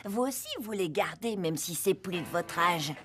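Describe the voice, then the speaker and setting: A woman voicing a whiny, scheming preteen boy in a French animated series, pleading and plotting expressively. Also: fairly low pitch, moderate pace, close to an everyday voice. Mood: sly, plotting. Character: scheming, whiny preteen boy attached to his old toys. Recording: background music under the voice.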